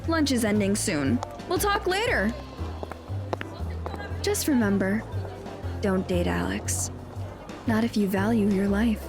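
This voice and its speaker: A woman's voice: medium pitch, lively intonation, slow pace, pauses often, over music. A woman playing a self-important, indignant teenage schoolgirl in an audio drama, speaking in an emphatic, accusatory way.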